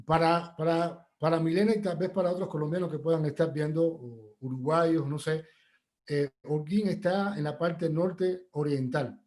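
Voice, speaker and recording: Cuban male interviewer, slightly high voice, friendly and conversational. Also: normal speed, a little mellow. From Cuba.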